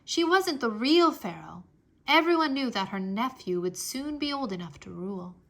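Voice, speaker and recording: A woman reading a history book aloud for children in an expressive, deliberate storytelling manner. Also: a little high, vocal fry. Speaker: read-aloud narrator.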